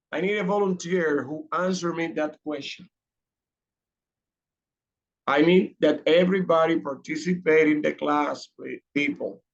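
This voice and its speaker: A man leads an online English class, his speech slow and deliberate with a gravelly edge. He is patient and repeats his questions to draw students out. The call audio sounds a little dull and muffled. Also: fairly high pitch. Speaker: English teacher.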